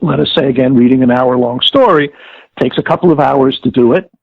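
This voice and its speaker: A thin, phone-like line carries an older man being interviewed. His voice is gravelly and dry, and he explains methodically, halting often as he lays out each point. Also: mid-range voice, dry recording. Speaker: audio director-producer, interviewee.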